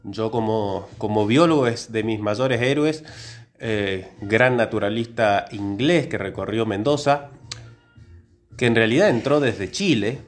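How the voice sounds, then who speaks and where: A man's voice: on the low side, unhurried. A man from Córdoba with an Argentine accent, co-hosting a casual podcast conversation. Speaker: podcast co-host, biologist.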